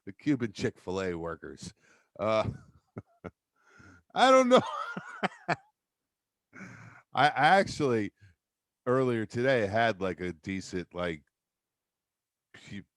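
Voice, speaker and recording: Deep-voiced comedian rehearsing a bit. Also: male voice, big pitch swings, takes it slowly, pauses often, no echo, studio quality. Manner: loose, profane, self-mocking. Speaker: stand-up comedian.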